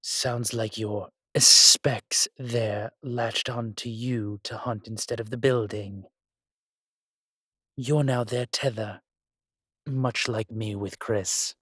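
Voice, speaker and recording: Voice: medium pitch, steady tone, slow pace, fairly bright tone, husky, no room sound, clean studio sound. An actor playing an ancient, powerful spirit, sardonic, proud and condescending, in an audio roleplay drama.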